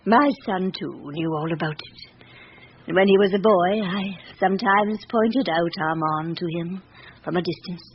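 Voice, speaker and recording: An actress playing a dignified, frank elderly princess in a radio drama, speaking in a poised, formal and deliberate manner. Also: female voice, lowish voice, natural voice. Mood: gracious, frank. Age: older. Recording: dull, noisy old radio broadcast recording.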